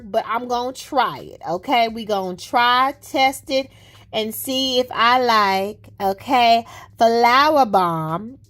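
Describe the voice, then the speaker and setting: A woman vlogging about fragrances in a lively, chatty way, using playful slang. She says her nose is a little stuffy. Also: medium pitch. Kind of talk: casual chat to camera.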